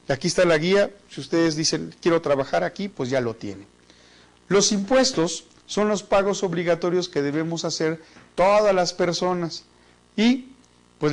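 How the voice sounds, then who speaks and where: A man with a Mexican accent teaching a business class in a chatty, joking way, often repeating words as he thinks. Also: high-pitched. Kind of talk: online business class lecture.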